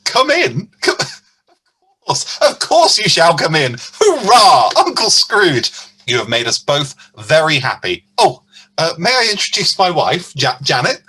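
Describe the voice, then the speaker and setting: A man's voice: on the high side, huge pitch swings, measured pace, bright, forceful tone, breathy edge, full character voice. A man playing a kindly, eager young nephew and devoted husband in an amateur radio play. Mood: delighted, flustered. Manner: eager, effusive.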